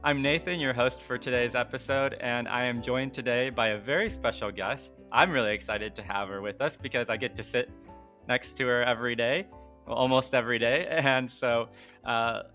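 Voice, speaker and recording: A man's voice: mid-range voice, steady tone, moderate pace, sharp tone, faint music behind. A man hosting a casual interview podcast, asking questions in an upbeat, friendly way with easy laughs. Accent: Chicago. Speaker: podcast host.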